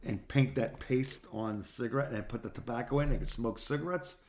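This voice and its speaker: A man in his sixties from South Florida with an American accent, co-hosting a podcast and rambling through personal anecdotes in a halting, circling way. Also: mid-range voice, darkish tone, a little hiss or hum. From South Florida, United States. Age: 60s. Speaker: podcast co-host. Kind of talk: casual phone chat, rambling personal anecdotes.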